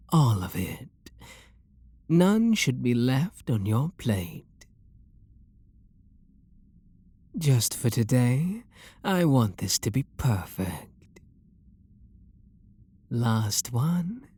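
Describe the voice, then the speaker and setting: A man playing a refined, possessive vampire lord with a British accent in an ASMR roleplay, speaking softly and deliberately in a genteel, flirtatious manner. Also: mid-range voice, husky, full character voice. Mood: gently insistent.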